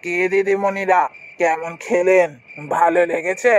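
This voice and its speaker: A man's voice: high voice, little pitch movement, unhurried, slight background noise. A man voicing a ghostly middle-aged food-stall keeper in a horror cartoon, insistent and repetitive, over night ambience. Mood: humble, hospitable. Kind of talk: animated horror story acting.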